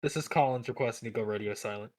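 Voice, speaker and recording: A man voicing a dry-witted spaceship crewman on guard duty in a tabletop game, in deadpan, clipped radio talk. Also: mid-range voice, racing, no echo, close to an everyday voice.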